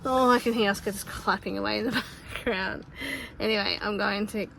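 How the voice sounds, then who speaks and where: Woman, thirties, croaky voice, casual family vlog, traffic noise behind. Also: medium pitch, fairly even intonation, normal speed, fairly bright tone, rough recording. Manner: chatty, laughs between sentences. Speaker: family vlogger. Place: outdoors by a busy road. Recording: clear traffic noise outdoors.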